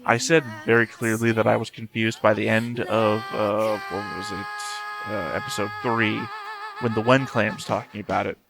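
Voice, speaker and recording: A man's voice: on the low side, level tone, normal speed, vocal fry, poor audio. A man hosting a reaction video, giving casual analytical commentary in a rambling, thinking-aloud way.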